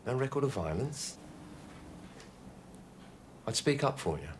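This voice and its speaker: An actor playing a steady police officer held hostage, talking the gunman down in a firm, persuasive way. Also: fairly quick, noisy background. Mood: coaxing. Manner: firm, persuasive, low and urgent.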